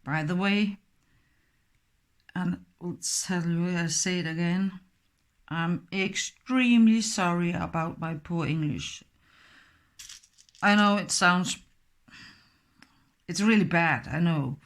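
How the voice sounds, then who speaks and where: Slow-talking woman with a Danish accent. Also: on the low side. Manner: slow, hesitant, apologetic, with many fillers. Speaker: craft tutor. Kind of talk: step-by-step craft tutorial.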